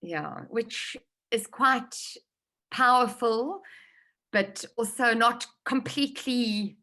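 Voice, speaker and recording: A woman's voice: a little high, takes it slowly, sharp tone. Hesitant South African woman novelist. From Johannesburg, South Africa. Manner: thoughtful, hesitant with many ums. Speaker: novelist guest. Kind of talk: interview answers.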